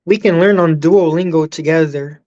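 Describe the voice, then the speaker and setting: A woman chatting as a guest on a live stream, halting and repeating words as she starts her sentences. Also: low-pitched, mellow, muffled recording. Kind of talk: casual live chat.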